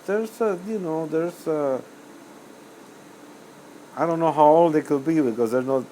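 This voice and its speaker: An older man from Cuba, a musician answering interview questions in a rambling, hesitant way with many pauses and self-corrections. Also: on the high side, dark tone, slight background noise, poor audio. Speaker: musician guest.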